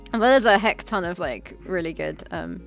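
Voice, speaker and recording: Casual woman streamer, chatty and laughing, faint music underneath, mid pitch. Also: gravelly. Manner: chatty, laughs often, reads chat aloud. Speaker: game dev streamer. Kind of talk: casual chat on a live stream.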